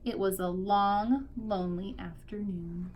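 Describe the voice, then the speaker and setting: A woman's voice: mid-range voice, steady tone, unhurried, muffled recording, a little hiss or hum. A woman teacher reading a picture book aloud expressively to young children, drawing out key words for emphasis.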